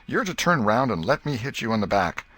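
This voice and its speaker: A man's voice: mid-range voice, big pitch swings, fairly quick, pushed and bright, voice pushed up. A man voicing an imaginative, bossy schoolboy in a storybook, declaiming like an outlaw hero in rustic boyish dialect. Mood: insistent.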